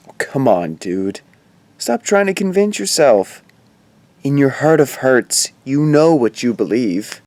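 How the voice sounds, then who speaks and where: A man's voice: mid-range voice, big pitch swings, takes it slowly, darkish tone, no echo. An actor playing a wry, skeptical teenage boy who talks in casual, sardonic slang in an audio drama. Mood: insistent. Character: wry, pop-culture-quoting skeptical teenage boy sidekick. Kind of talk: conversational audio drama acting.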